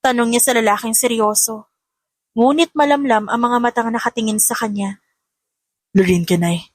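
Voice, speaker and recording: A woman's voice: on the high side, brisk, no room sound. A woman narrating a scripted romance story as an audiobook reader.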